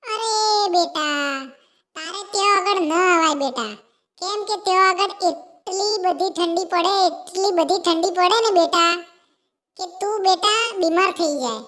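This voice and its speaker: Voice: extremely high pitch, pushed and bright. A performer voicing a doting, worried mother sparrow in a children's cartoon tale, coaxing and repeating fond words. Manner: coaxing, repetitive, keeps addressing the child fondly. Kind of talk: animated children's story dialogue.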